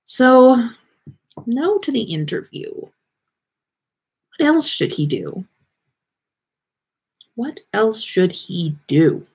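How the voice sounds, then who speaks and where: A woman reads fiction aloud in a lively, widely swooping voice with a husky edge. She leans into key words theatrically and now and then trips over a phrase. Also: fairly high pitch, extremely slow, long pauses. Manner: animated, theatrical emphasis with occasional stumbles. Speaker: podcast host reading listener fan fiction aloud. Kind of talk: expressive story reading on a livestream.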